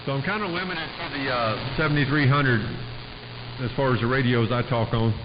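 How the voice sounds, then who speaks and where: A man's voice: mid-range voice, fairly quick. A man chatting casually on a two-way radio in a folksy, rambling way full of radio lingo. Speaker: amateur radio operator. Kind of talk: casual radio chat between operators. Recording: muffled radio transmission with clear static noise.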